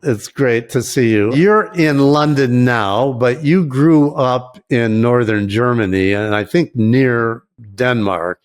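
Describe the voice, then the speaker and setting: A man's voice: medium pitch, slow pace, few pauses. Male podcast host asking questions. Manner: friendly, conversational questioning. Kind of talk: podcast interview.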